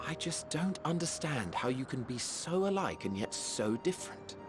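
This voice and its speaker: An actor playing a genial, wry mage in a video game, speaking in eloquent, formal phrases with fond admiration. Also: male voice, a little high, sing-song, loud music behind the voice. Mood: gently wry. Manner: eloquent, formal, measured storytelling. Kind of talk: video game cutscene acting.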